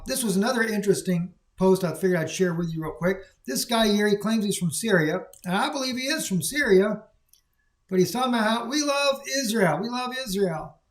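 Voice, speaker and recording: A man giving rambling, opinionated solo commentary on the news, emphatic and full of fillers. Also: high voice. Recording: echoey room recording.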